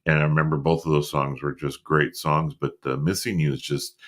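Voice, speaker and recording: A middle-aged man with a deep voice, co-hosting a casual podcast chat in an easygoing, rambling way. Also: little pitch movement, brisk, a bit bright. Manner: easygoing, rambling with fillers and restarts. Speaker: co-host.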